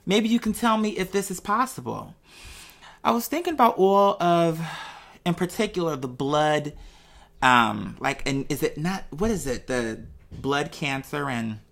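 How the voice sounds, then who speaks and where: A woman's voice: low-pitched, creaky, a bit bright. A woman talking casually off the cuff to viewers, rambling conversationally and checking in with rhetorical questions. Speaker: vlogger. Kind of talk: casual off-the-cuff monologue, thinking aloud to viewers.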